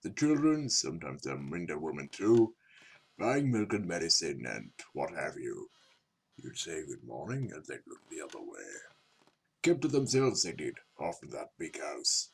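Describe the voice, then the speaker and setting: A man playing a sharp old islander in his eighties, reminiscing in folksy clipped phrases for an audiobook drama, gravelly and husky. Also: extremely low pitch, very animated, moderate pace, full character voice, low-quality recording. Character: 83-year-old island uncle, sharp, gossipy old local.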